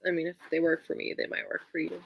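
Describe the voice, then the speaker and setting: A woman's voice: low voice, completely flat delivery, quick pace, creaky, breathy edge. A woman chatting casually and expressively about books as a co-host, in an informal, emphatic way. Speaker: book show co-host. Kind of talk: casual book chat.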